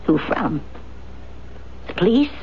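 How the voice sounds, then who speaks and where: Feisty, animated old farm woman. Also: lowish voice, slow pace, heavily acted. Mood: probing, hesitant. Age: older. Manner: folksy, plain-spoken. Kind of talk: 1970s radio drama acting. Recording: dull, noisy 1970s radio broadcast.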